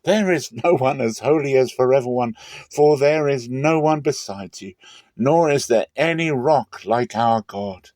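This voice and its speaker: A man voicing a rejoicing woman praying in triumph in a dramatic scripture reading, proclaiming with slow stressed phrases. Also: medium pitch, a little mellow, no room sound, studio quality, pitched above the performer's own voice, lightly acted. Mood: exultant.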